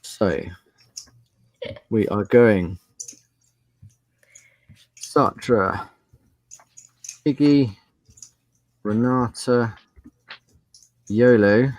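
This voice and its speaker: Guy game master narrating, low slow voice, dramatic pauses, dry recording. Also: no echo, low-quality recording.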